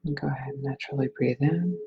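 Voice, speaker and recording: A person leading a guided meditation in a soothing, unhurried manner. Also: mid-range voice, fairly even intonation, dull audio, noisy background. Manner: soothing, unhurried phrasing with breathy releases.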